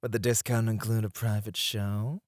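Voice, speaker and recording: A man playing a suave, flirtatious club escort in an intimate ASMR roleplay, teasing and breathy, close to the mic. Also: lowish voice, level tone, no echo, close to an everyday voice. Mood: playful, coaxing. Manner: slow, teasing, seductive, often dropping to a breathy murmur. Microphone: close studio microphone, dry.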